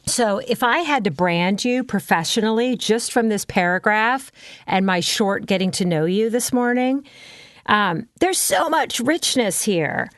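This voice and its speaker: A woman's voice: mid-range voice, animated, measured pace, no room sound, studio quality. A woman brand consultant coaching in a casual chat, talking freely with frequent ums and you-knows.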